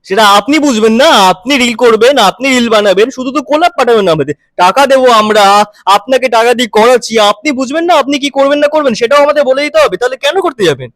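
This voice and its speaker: A pushy, muddled recruiter played by a man for a comic prank call. The voice is very high and dry, and keeps insisting, dodging and repeating itself. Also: expressive, fast talker, fairly bright tone, no room sound. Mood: pushy, evasive. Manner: insistent, evasive, repetitive. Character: pushy, muddled caller offering paid acting work. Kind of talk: prank phone call improvisation.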